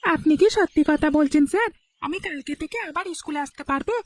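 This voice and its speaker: A woman's voice: sits high, fast talker, dry recording, natural voice. A woman voicing an earnest young village schoolboy in a cartoon, polite and hopeful. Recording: soft music underneath.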